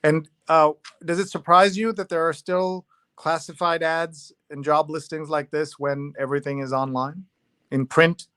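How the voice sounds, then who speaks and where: A man's voice: fairly high pitch, flat delivery, moderate pace, bright, forceful tone, clear, resonant tone, no room sound. Man hosting a newspaper review, close dry sound. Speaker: host of a newspaper review show. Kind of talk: casual live show commentary, reading aloud from the paper.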